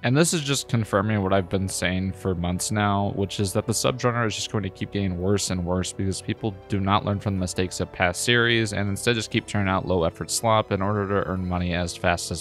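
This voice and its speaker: A man with a lowish voice narrates a scripted video review. He sounds critical and exasperated, leaning on words for emphasis. Music plays behind his voice. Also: a bit bright.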